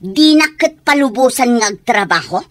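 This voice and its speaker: A woman's voice: on the high side, lively intonation, normal speed, dry recording, studio quality, natural voice. A woman playing a nagging, scornful working wife in a radio comedy drama.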